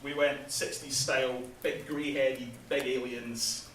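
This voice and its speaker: A man's voice: mid-range voice, flat delivery, takes it slowly, fairly bright tone. Man, chatty stage talk, laughs at his own jokes, distant mic, faint background hiss. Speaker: game developer presenting at an industry event. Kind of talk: casual conference presentation. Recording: echoey hall, speaker far from mic, faint noise.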